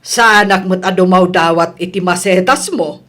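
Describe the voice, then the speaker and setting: Woman playing older mother, lowish voice, touchy, grumbling, comedy radio drama. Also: moderate pace, fairly bright tone, natural voice. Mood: suspicious, curt.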